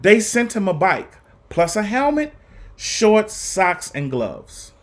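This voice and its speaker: A man reading a children's story aloud to students, with deliberate, emphatic phrasing and an earnest, encouraging manner. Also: high-pitched, very animated. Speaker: guest reader for students.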